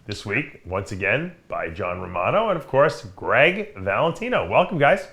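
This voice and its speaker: A man's voice: medium pitch, moderate pace. A man hosting a casual podcast chat, joking and acting out quotes. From New York. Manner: animated, joking, acts out quotes. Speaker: podcast host.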